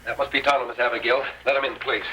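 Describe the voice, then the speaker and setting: A man's voice: mid-range voice, fairly even intonation, racing, natural voice. An actor playing a resolute, courteous American masked lawman with an authoritative manner in a radio Western. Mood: commanding. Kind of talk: old-time radio Western acting. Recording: noisy vintage radio recording, tinny.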